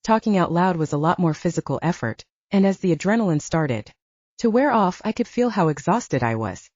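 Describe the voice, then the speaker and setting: A woman's voice: fairly low pitch, animated, fairly quick, a little mellow, dry recording, dull audio. A woman reading a first-person audiobook story as its narrator. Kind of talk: first-person fiction narration with acted dialogue.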